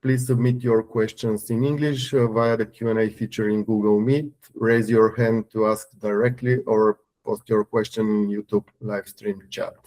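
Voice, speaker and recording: Mellow-voiced male call moderator. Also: lowish voice, flat delivery, moderate pace, hardly any pauses, low-quality recording. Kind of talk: reading out questions on an earnings call.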